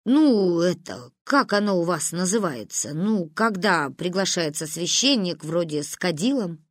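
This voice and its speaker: A woman's voice: fairly low pitch, lively intonation, a little slow, dry recording, clean studio sound. A woman voicing a hapless, well-meaning uncle in an audiobook, protesting and apologetic. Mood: hesitant. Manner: protesting, conciliatory.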